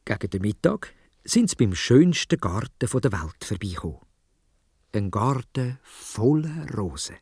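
Husky Swiss German man telling children's stories. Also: fairly low pitch, measured pace, no room sound, studio quality. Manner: expressive, playful telling. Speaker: storyteller. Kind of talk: children's storytelling in dialect.

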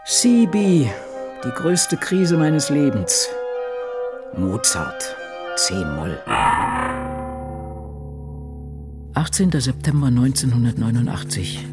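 Casting: brooding, anxious diarist in radio drama, very animated delivery dropping toward intimate hush, over music. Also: male voice, mid-range voice, slow pace. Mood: anguished, confessional. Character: aging woman crime novelist reading her diaries, brooding, anxious.

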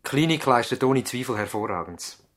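An actor with a Swiss German accent playing an earnest, idealistic young doctor in a 1970s radio play, speaking in a measured, formal way. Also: male voice, medium pitch, lively intonation, fairly bright tone. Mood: respectful, thoughtful.

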